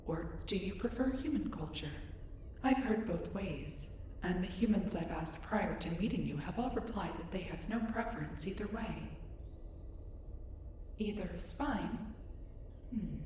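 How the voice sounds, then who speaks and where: A woman's voice: on the low side, animated, unhurried, pauses often, warm tone. A woman playing a curious, polite young female alien in an audio roleplay, speaking in formal, precise, reserved phrasing. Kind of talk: scripted roleplay audio drama addressed to listener. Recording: echoey, distant, noisy spaceship ambience.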